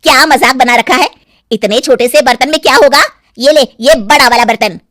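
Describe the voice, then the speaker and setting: A woman's voice: high voice, normal speed, fairly bright tone, no echo, clean studio sound. A woman voicing a greedy, bossy middle-aged village mother-in-law, pushy and scolding, in a cartoon story. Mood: angry, bossy.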